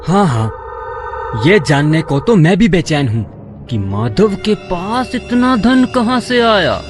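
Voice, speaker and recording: A greedy, boastful villager from a children's cartoon, played by a man, plotting aloud to himself. His voice sits high, swoops widely and moves slowly. Music runs underneath. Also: big pitch swings, heavily acted. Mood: curious. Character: greedy scheming village man, older brother. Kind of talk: cartoon story voice acting. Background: background music.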